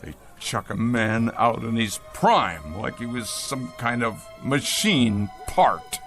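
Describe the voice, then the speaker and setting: A pompous, wounded boss, swooping pitch. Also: male voice, animated, slow, fairly bright tone, faint music behind. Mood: wounded, resentful. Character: pompous, bitter ousted company boss, neurotic and wounded. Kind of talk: classic science-fiction radio drama acting.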